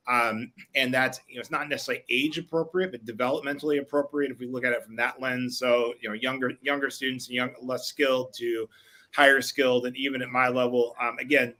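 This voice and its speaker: A man's voice: mid-range voice, bright, forceful tone. A middle-aged man, a physical education teacher, speaking reflectively in a panel discussion and rephrasing as he goes.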